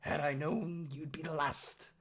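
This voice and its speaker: Husky, gruff, menacing orc father. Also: fairly high pitch, fairly even intonation, unhurried, fairly bright tone. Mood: menacing, contemptuous. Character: bitter, menacing, scarred orc father, older male.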